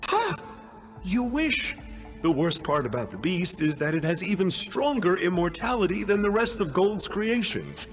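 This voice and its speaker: Smug young game schemer, high pitch, very lively intonation, pompous, wordy, theatrical, music behind. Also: male voice, normal speed. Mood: grandly explanatory. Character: youthful smug scheming clerk, wheedling and self-important. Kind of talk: video game voice acting.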